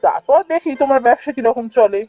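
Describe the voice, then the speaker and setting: A woman's voice: high-pitched, lively intonation, quick pace, mellow. Woman acting a kind younger brother, earnest, firm, defiant spirit, cartoon folktale delivery, faint music underneath. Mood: hurt, defiant. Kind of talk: Bengali fairy-tale cartoon voice acting.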